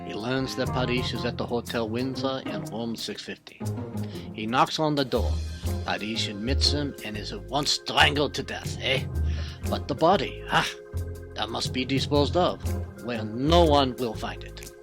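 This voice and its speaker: An actor playing a clever, courteous, self-assured detective with a French accent and a formal, theatrical way of explaining, in an old-time radio mystery style. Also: male voice, mid-range voice, measured pace, over loud music, lightly acted. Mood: triumphant, explaining.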